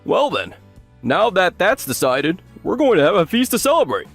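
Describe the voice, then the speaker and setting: A man's voice: high voice, sing-song, moderate pace, vocal fry, fairly bright tone, heavily acted. A man playing an enthusiastic, courteous hotel cook in a manga dub. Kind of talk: anime-style manga dub acting. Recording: background music under the voice.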